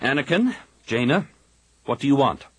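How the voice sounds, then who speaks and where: A man's voice: mid-range voice, very animated, moderate pace, slightly rough, dry recording, voice pushed up. Cocky young Jedi hothead, read by a man, raised voice, dry close sound. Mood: resolute. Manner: insistent, forceful. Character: brash young male Jedi pilot, defiant.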